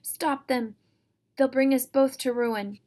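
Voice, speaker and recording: A woman voicing a wicked old conjure woman who gives shrill, folksy orders. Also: fairly quick, close to an everyday voice. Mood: shrieking orders. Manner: shrill, commanding, rough folksy speech.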